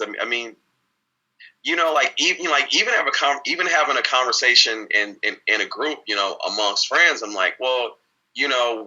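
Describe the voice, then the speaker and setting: A man on a video call answers an interview question in a candid, reflective way, with a low-pitched voice that comes across bright and tense. He often repeats words and restarts his sentences, and he stays earnest throughout. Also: low-quality recording. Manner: talks openly with frequent repeated words and restarts. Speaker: interview guest.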